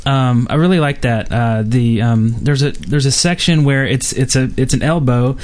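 A man's voice: mid-range voice, moderate pace, a little mellow. Rambling male podcast co-host. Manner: rambling, filler-heavy, restarts often. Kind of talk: casual gaming podcast chat.

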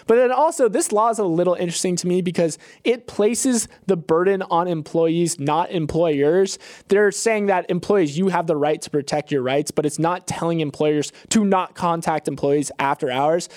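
Higher-pitched American man, casual news commentary. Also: darkish tone, no echo, studio quality. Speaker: podcast co-host. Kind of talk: conversational business news commentary.

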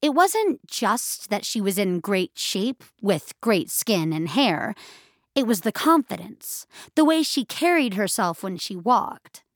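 Slow, expressive woman narrating fiction. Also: mid-range voice, dry recording, studio quality. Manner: measured, expressive storytelling. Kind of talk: scripted audio fiction narration.

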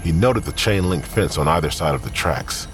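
A man narrating scripted crime fiction in a grave, deliberate storytelling manner. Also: very deep voice, expressive, a little hiss or hum.

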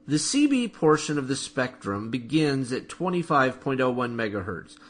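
A man's voice: fairly high pitch, fairly bright tone. A man hosting a podcast, reading a scripted explanation in a methodical, instructional way. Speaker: podcast host.

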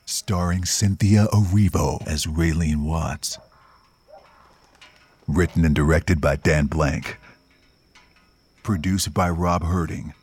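A man reading scripted credits for an audio drama in a measured announcing manner. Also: low voice, a little mellow, slightly rough, dry recording.

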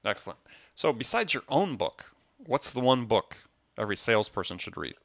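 A man hosting an interview show, briskly affirming his guest and moving to the next questions. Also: medium pitch, sing-song, creaky, fairly bright tone. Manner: brisk, affirming, moves the talk along. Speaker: podcast host.

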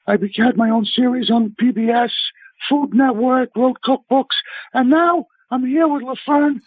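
A man's voice: extremely high pitch, fairly even intonation, moderate pace, slightly rough, dry recording. Chatty Brooklyn man on a tinny phone line. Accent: Brooklyn New York. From Brooklyn, New York. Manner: chatty, emphatic, salesman-like banter. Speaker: celebrity chef guest. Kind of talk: casual phone-in radio chat. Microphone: telephone.

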